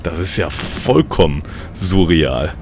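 Male host, playful mock-dramatic narration, low gravelly voice, faint background noise. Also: big pitch swings, takes it slowly, soft background music. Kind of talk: improvised storytelling narration.

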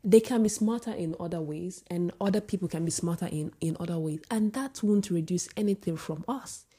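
A woman with a husky voice giving an opinionated vlog monologue, often restarting sentences and rising into emphatic outbursts. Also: lowish voice, fairly quick, dark tone. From Sweden. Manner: many restarts and filler words. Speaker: vlogger. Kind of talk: casual opinion monologue to camera.

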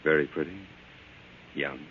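An actor playing a cocky, evasive young mob lawyer in an old-time radio drama, brisk and defensive. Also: male voice, rumbling low, steady tone, a little hiss or hum. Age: young adult. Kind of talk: 1940s radio drama acting. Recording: dull lo-fi old radio recording.

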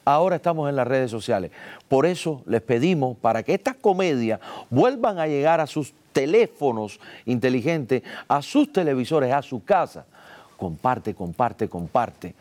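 A man's voice: medium pitch, sing-song, normal speed, a little mellow. A man hosting a casual interview show, joking with his guest, laughing often and speaking straight to the audience. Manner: playful, laughs often, addresses the audience directly. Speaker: talk show host.

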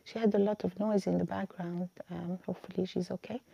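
Give me a patient, friendly woman host with a dry, gravelly voice. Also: on the low side, level tone, fairly quick, warm tone, no room sound, lo-fi sound. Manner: friendly, patient, helps with words. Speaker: host, Arabic teacher. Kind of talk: casual live chat.

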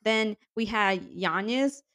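A woman co-hosting a podcast in casual chat, enthusiastic and laughing often, her voice a little raspy from yelling. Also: medium pitch, takes it slowly. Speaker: podcast co-host. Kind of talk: casual chat about women's soccer.

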